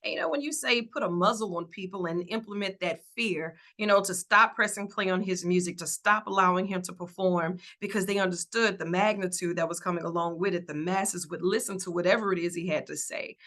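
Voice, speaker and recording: A woman hosting an interview show, chatting in a lively way, laughing often and acting out what people might say. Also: fairly low pitch, a little fast, few pauses. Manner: animated, laughs often, acts out quotes. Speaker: talk show host.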